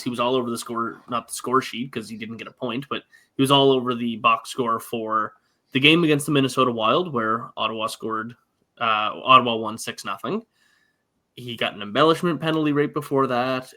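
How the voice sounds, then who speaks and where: A man's voice: mid-range voice, pushed and bright. A man co-hosting a casual sports podcast, laying out the facts and then asking for his partner's views. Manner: leads the discussion, laying out the facts before asking questions. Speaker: co-host, hockey writer.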